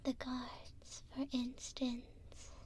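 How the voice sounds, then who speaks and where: A woman playing a gentle, hopeful imprisoned elf in an ASMR roleplay, speaking tenderly in a soft, breathy whisper close to the mic with pauses between short phrases. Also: high-pitched, completely flat delivery. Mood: calm, reflective. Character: gentle, hopeful female elf prisoner in a dungeon. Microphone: close binaural microphone.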